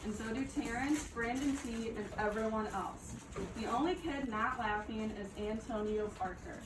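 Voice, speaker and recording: A woman reads a picture book aloud in the role of a teacher, stopping to prompt the children and affirm their answers. She sounds set back from the microphone in a roomy space, with clear background noise around her voice. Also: a little high, distant mic. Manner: pauses to prompt and affirm listeners. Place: echoey room with children.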